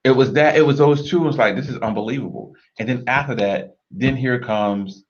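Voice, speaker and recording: A man co-hosting a marriage talk show, speaking in a measured, reflective way and often murmuring agreement. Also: mid-range voice, lo-fi sound, slight background noise. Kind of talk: earnest conversational discussion.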